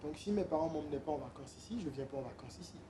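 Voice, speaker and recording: A man's voice: a little high, very fast, warm tone, slight background noise. A young man from Benin, a musician guest, talking casually in an interview with many hesitant fillers.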